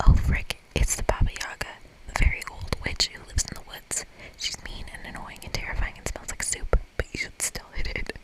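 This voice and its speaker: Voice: fairly quick, few pauses, rough recording. An ASMR host whispering through a video game, reading the text aloud and adding casual, playful asides.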